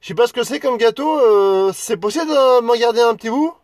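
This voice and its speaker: A man with a high voice chats in a friendly, casual way as a CB radio operator. The sound is dry and close, with no echo. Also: brisk. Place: outdoors on high ground at the radio operator's own end.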